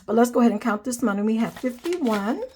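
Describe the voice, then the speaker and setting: A woman's voice: a little high, lively intonation, fast, a little mellow, slight background noise. A young woman hosting a budgeting vlog, chatting casually and counting cash aloud, sounding nasal from a cold. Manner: friendly, calls viewers "guys", counts money aloud. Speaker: budgeting channel host.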